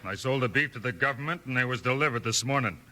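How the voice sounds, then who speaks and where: Forceful, hot-tempered cowboy rancher. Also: male voice, mid-range voice, quick pace. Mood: sullen. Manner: folksy cowboy grammar, belligerent. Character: hot-tempered, defiant cattle rancher, quick to threaten. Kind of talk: 1950s radio western acting. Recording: noisy vintage radio recording with clicks.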